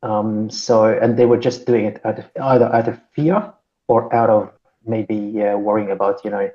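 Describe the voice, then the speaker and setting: A man's voice: fairly low pitch, level tone, moderate pace, highs cut off. A man from Syria, co-hosting a podcast chat and speaking thoughtfully with many fillers and tag questions. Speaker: podcast co-host. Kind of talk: casual panel discussion.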